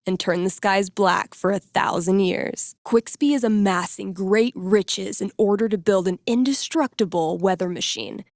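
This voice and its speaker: An actress playing a young female game master in a video game, narrating dark fantasy in a suspenseful, theatrical way. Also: medium pitch, measured pace, hardly any pauses, no echo, studio quality, lightly acted. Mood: portentous. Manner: suspenseful, portentous.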